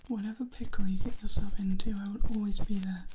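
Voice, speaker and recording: A woman playing a protective, world-weary vampire in a soft, breathy whisper, in an ASMR roleplay. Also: medium pitch, steady tone, slight background noise, close to an everyday voice. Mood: gently warning. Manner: slow, gentle, admonishing. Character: protective, world-weary female vampire tending a loved one.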